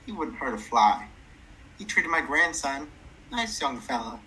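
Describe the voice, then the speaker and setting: A woman's voice: rumbling low, very animated, a little slow, fairly bright tone, a little hiss or hum, heavily acted, rough recording. A woman playing an ailing, chatty elderly neighbour in a radio play, with a folksy, motherly, fussing manner. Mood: tender, chatty. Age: older.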